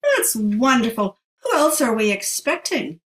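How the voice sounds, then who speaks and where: A woman's voice: a little high, sing-song, a little slow, distant mic. A woman playing a grand, wistful, forgetful elderly lady in an audio play, rambling dramatically. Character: wistful, grand, dotty elderly female club member. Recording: roomy echo, off the mic.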